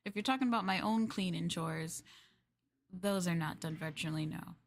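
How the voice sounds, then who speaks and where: A woman livestreamer in casual roleplay chat, playing a polite, chatty maid and often dropping into soft, breathy near-whispers. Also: lowish voice, normal speed, a little hiss or hum. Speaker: VTuber livestream host.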